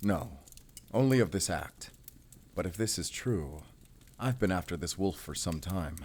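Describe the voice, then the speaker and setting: Grim, determined werewolf hunter, low-pitched, brooding and terse, measured audio drama delivery. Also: male voice, animated, darkish tone. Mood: quietly defiant. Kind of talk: cinematic audio drama acting.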